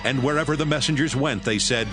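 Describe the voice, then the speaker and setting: A man narrating scripture as a measured, scripted storyteller in an audio Bible. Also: medium pitch, music in the background.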